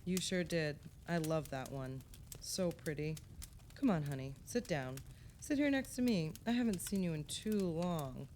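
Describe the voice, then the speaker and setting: An actress playing an affectionate, mildly skeptical woman, gentle and reflective, in conversational radio play dialogue. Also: low voice, lively intonation, measured pace, slight background noise.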